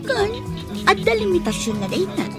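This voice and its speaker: A friendly young club woman in a radio drama. Her high voice swoops expressively over loud music. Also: very animated, moderate pace. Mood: confiding. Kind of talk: Ilocano radio drama acting.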